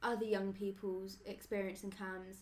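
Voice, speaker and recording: A woman's voice: medium pitch, flat delivery, moderate pace. An eighteen-year-old woman in a group talk, explaining things earnestly with casual fillers. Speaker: group member, guest. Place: meeting room.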